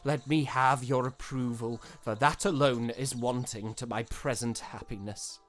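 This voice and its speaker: An actor playing a proud, passionate young eighteenth-century gentleman in an audio drama, speaking formally and theatrically. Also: medium pitch, steady tone, unhurried, soft background music, close to an everyday voice. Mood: earnest, resolute. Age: young adult. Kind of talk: period audio drama acting.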